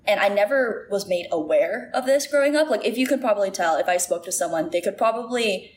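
A woman's voice: medium pitch, animated, a little fast, a little hiss or hum. A woman in her early twenties who grew up in Shanghai and Singapore, chatting casually as an interview guest and saying "like" a lot. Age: 20s. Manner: chatty, says "like" often, many fillers.

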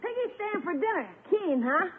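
A brash young boy with a piping, very high voice. He is breezy and cheeky with his uncle. The sound is a tinny 1950s radio comedy. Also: animated, normal speed.

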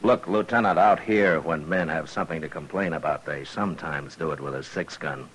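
A man playing a steady, authoritative frontier marshal in a 1950s radio drama, speaking in a measured, dry, laconic way. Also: extremely low pitch. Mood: dry, firm. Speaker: lead actor in a western radio drama. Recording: noisy vintage 1950s radio recording.

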